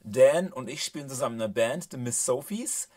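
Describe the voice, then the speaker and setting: A man in his thirties hosting a casual talk in his small home studio, explaining things in a relaxed way with many fillers. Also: medium pitch, brisk. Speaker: host, mixing engineer. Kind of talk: casual presenting.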